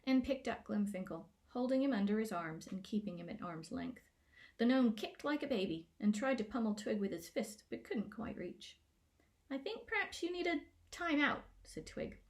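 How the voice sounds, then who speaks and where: A woman narrating a scripted children's fantasy story in a playful, expressive way, as an author reading aloud. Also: mid-range voice. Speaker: author reading own book.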